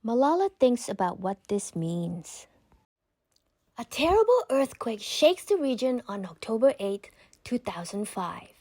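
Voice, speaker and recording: A woman reads a children's book aloud in a fairly high voice. Her intonation moves widely and expressively through measured, scripted narration. The sound is dry, with no room echo. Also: big pitch swings, dark tone.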